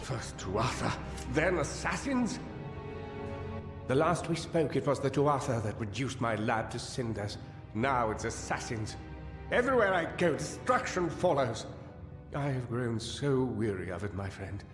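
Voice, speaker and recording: An actor playing a weary, guilt-ridden, paranoid gnome scholar in a fantasy video game, theatrical and anguished, halting as he muses. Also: medium pitch, big pitch swings. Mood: anxious, then weary, regretful. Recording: echoey cavern-like reverb over music.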